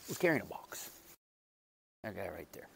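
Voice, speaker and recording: A man vlogging outdoors in his backyard garden on a lapel mic, his voice raspy from a loud night out as he chats in a rambling, self-deprecating way while doing chores. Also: deep voice, very animated, moderate pace, slight background noise.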